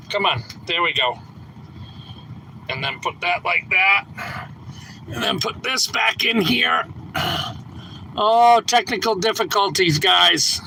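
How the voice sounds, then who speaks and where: A man's voice: sits high, vocal fry, sharp tone, a little hiss or hum, poor audio. A man hosting a casual live stream from inside a truck on his phone, chatty and a bit congested from a lingering cough. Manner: rambling, chatty, fumbling with gear. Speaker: homestead channel host. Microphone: phone camera held in hand.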